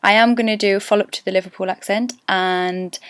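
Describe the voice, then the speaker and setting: A woman with a Geordie accent talks casually to camera, thinking aloud as she explains her approach to accents. Her voice is smooth and clear at a middling pitch. The sound is dry and close, with no room around it. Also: fairly even intonation, fast, no room sound. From North East England. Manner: chatty and self-deprecating, thinks aloud. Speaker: actress giving an accent tutorial.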